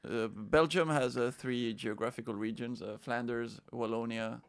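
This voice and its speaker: A man's voice: medium pitch, moderate pace, creaky. A man from Spain, a guest comedian, chatting casually on a podcast with lots of fillers and restarts. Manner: animated storyteller, many fillers and restarts.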